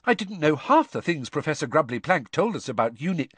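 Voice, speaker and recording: A man voicing a clever, earnest teenage girl in an audiobook, talking in a quick, earnest way. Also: a little high, big pitch swings, breathy edge, no room sound, pitched above the performer's own voice.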